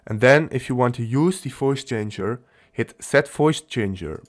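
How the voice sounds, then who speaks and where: A man narrating a casual software tutorial as its host, explaining step by step in a halting way. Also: mid-range voice, expressive.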